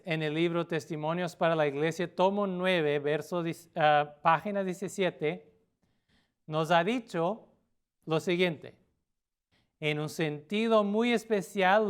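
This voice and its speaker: A middle-aged man from Portugal, a native Portuguese speaker, preaching a sermon as a pastor with an earnest manner and frequent pauses. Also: high-pitched, clean tone. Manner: earnest, with pauses and filler sounds.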